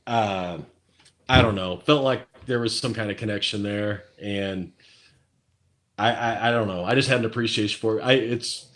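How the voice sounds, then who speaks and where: A man's voice: lowish voice, moderate pace, a bit bright. A man from Missouri with a Midwestern accent, talking as a guest in a casual interview, rambling and hesitant with many fillers and restarts. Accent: Midwestern American. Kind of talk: casual video chat interview.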